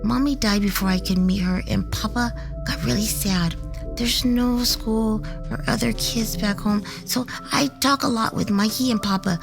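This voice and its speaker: A woman's voice: fairly high pitch, moderate pace, vocal fry. A woman playing a candid, curious little girl with a hesitant, childlike manner in an audio drama. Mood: wistful. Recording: music under the voice.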